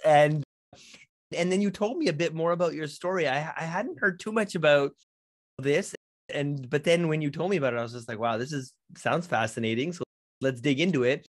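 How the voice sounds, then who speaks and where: A man's voice: on the high side, a little fast. Upbeat man hosting a live video interview. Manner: chatty, upbeat, with restarts and hedges. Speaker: podcast host. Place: home studio. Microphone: studio mic on a boom, seen in frame.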